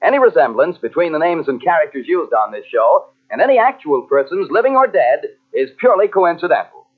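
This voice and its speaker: Old time radio announcer guy, highish animated voice, thin tinny recording. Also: a little fast. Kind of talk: 1940s radio announcing, scripted credits.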